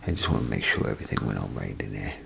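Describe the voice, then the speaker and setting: A man playing a chatty, folksy bathroom attendant in a soft ASMR role play, speaking in a gravelly, breathy half-whisper with rambling, hesitant phrasing. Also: a bass voice, lively intonation, very fast, pitched below the performer's own voice, heavily acted. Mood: friendly, fussing. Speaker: ASMR performer. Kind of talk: ASMR role play, softly spoken to the listener. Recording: close ASMR recording, clear background noise.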